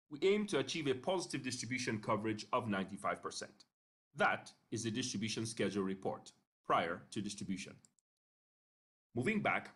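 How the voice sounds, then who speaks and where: A man narrating an instructional screencast in a measured, step-by-step way, pausing briefly between phrases. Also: mid-range voice, a bit bright. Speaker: software tutorial narrator.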